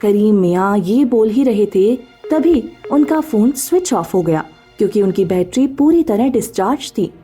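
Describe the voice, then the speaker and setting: A woman's voice: mid-range voice, expressive, moderate pace, hardly any pauses, warm tone, faint music behind. A woman narrating a scripted story as the narrator.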